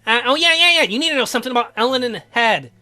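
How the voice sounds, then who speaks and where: A woman's voice: on the high side, animated, rapid-fire, sharp tone. A woman voicing a nervous, eager young man in a visual novel, hesitant, groggy and stammering. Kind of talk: visual novel read aloud in character.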